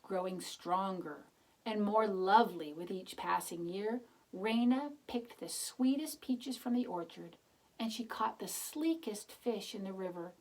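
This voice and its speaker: Woman narrator for children's read-aloud; expressive, simple, explanatory, voice slightly husky. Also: mid-range voice, rough recording. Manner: expressive, explains words and pictures, asks gentle questions. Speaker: children's storybook reader.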